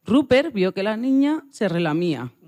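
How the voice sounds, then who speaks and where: A woman narrates a children's story from a script. Her intonation is animated and swings freely around a middle pitch. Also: moderate pace.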